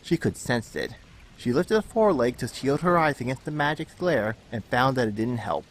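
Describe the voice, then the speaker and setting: A man's voice: mid-range voice, lively intonation, normal speed, rough recording. A man reading scripted dramatic story narration. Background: music under the narration.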